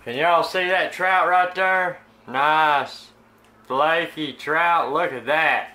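A bright, forceful Southern American man in his thirties talks viewers through a home cooking job in a relaxed, folksy way. His voice sits a touch high for a man. It is picked up by a phone in a home kitchen. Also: slow pace. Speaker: cooking channel host. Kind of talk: casual cooking demonstration. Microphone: phone camera.

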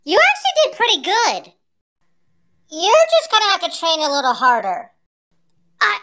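A performer using a pitched-up voice changer to voice a brave, cocky little alien boy warrior in dramatic anime-style character clips. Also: pushed and bright, full character voice. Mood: encouraging. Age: child character.

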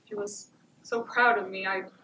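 A young woman playing a wounded but resolute survivor of domestic abuse in a dramatic stage monologue, with deliberate, declamatory phrasing. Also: medium pitch, very animated, gravelly, bright, forceful tone, exaggerated voice. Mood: somber, resolute. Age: young adult. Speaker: high school stage actor. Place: indoor theatre stage, far camera. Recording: echoey theatre, distant mic, faint noise.

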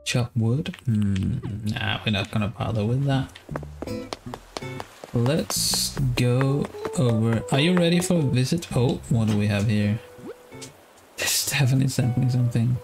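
Slow-talking Danish man streaming a game. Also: medium pitch, creaky. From Denmark. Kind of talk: casual gameplay commentary. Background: guitar music.

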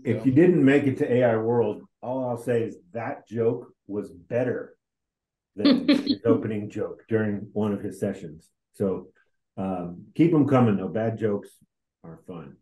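A man's voice: on the low side, measured pace, narrow, tinny audio. A middle-aged man casually hosting a webinar over a video call, speaking informally. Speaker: webinar host. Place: indoor room on a video call. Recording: roomy, echoey video-call audio.

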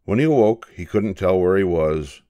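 An older man narrating a children's picture book in a measured, expressive storytelling manner. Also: sits low, muffled recording. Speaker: storybook reader.

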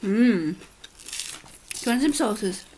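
A woman's voice: a little high, expressive, extremely slow, a little mellow, a little hiss or hum. A young woman with an English accent, chatting through a home cooking and eating vlog, a little sniffly from a cold. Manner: chatty, talks while eating. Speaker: mukbang vlogger. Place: home kitchen.

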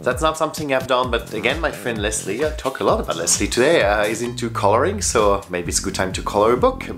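A man's voice: medium pitch, animated, quick pace. A man in his forties who is not a native English speaker, giving casual, chatty travel tips to camera as a vlogger. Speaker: travel vlogger and flight attendant. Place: bedroom, indoors. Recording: music behind the voice. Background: background music.

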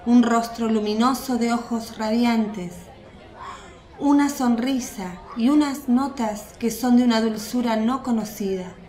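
A woman's voice: a little high, a little slow, a little mellow. A woman reading scripted narration for a radio drama. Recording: echoey, crowd murmur behind.

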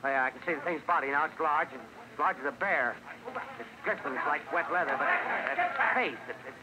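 An actor playing an excited, halting American radio news reporter, a flustered eyewitness in 1930s radio drama style. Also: male voice, fairly high pitch, natural voice. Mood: horrified. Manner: halting, breathless on-the-spot commentary. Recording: noisy 1930s radio broadcast off cassette.

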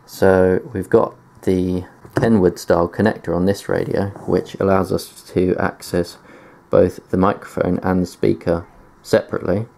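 A man's voice: deep voice, flat delivery, moderate pace, vocal fry, few pauses, a little mellow. A man giving a casual tech demonstration in a matter-of-fact, explanatory way, presenting gear on video indoors. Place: indoors at a desk.

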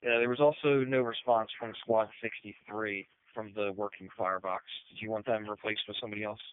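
A man's voice: fairly low pitch, steady tone, a little fast, clean tone, dry recording. A man working as a fire dispatcher, giving clipped, businesslike procedural replies and repeating unit numbers over two-way radio. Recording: narrow, tinny emergency radio traffic.

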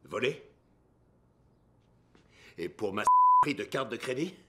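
A man's voice: medium pitch, lively intonation, takes it slowly, noisy background. An actor with a French accent playing an irate, domineering middle-aged father in a dubbed comedy film. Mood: stern, menacing. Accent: French (France).

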